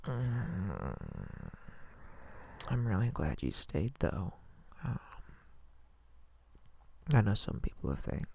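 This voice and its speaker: A man acts out a sleepy, tender male lover in an intimate ASMR roleplay. His low voice is full of heavy fry and breath, murmured slowly and hesitantly right up close to the microphone. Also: darkish tone, full character voice. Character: sleepy affectionate man the morning after a hookup. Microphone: close mic.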